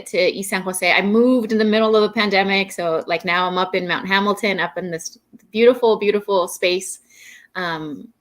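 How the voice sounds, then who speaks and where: A woman in her forties, a guest poet, talking candidly and casually on a video call, now and then swearing. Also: fairly low pitch. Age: 40s. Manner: relaxed and candid, with mild swearing.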